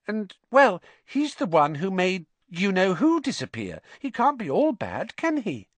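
A man's voice: high-pitched, huge pitch swings, unhurried, no room sound, voice pushed up, full character voice. A man voicing a timid, kind schoolgirl in an audiobook, hesitant and questioning. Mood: uncertain. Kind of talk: audiobook character acting.

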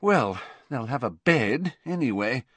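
Guy putting on a harassed middle aged mother, fussy and scolding, high voice, storybook, dry sound. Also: very animated, a little slow, dry recording. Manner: fussing, maternal.

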